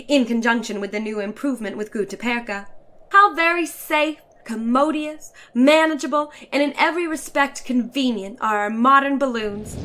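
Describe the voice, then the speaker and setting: Woman reading as a snooty chatty lady traveler from a storybook, high voice, very theatrical and wordy. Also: pushed and bright. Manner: theatrical, emphatic, ornate and declamatory. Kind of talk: dramatic reading of a short story.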